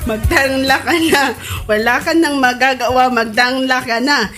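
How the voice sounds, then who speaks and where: A woman's voice: high voice, fairly even intonation, normal speed, music in the background, close to an everyday voice. A woman acting a gleeful, mocking gossip in a comedy radio drama. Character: gleeful, mocking gossip who taunts election losers.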